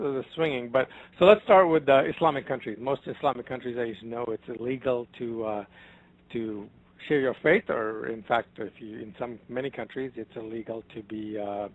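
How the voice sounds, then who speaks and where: A man who grew up in Africa, the Middle East and India, speaking as a guest author on a radio show, animated, with loudness rising and falling and many fillers. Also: mid-range voice. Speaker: author and ministry founder, guest. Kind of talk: guest interview. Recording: dull lo-fi broadcast audio.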